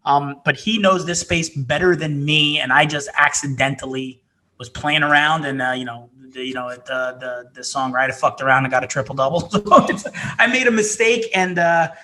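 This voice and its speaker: Male podcast co-host, bright tense voice, slightly higher pitch, rambling and hesitant. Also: normal speed. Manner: rambling, hesitant, with many fillers. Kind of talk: casual podcast chat.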